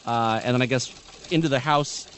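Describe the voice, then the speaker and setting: A man reads offbeat news items on a radio show at a middle pitch. He drops flat, dry jokes between the stories. A faint hiss runs behind his voice. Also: moderate pace. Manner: dry, deadpan asides. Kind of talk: reading news items with banter.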